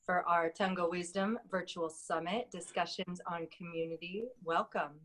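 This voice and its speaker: A woman hosting an online summit interview over a video call, gracious and gently encouraging. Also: lowish voice, steady tone, normal speed, rough recording.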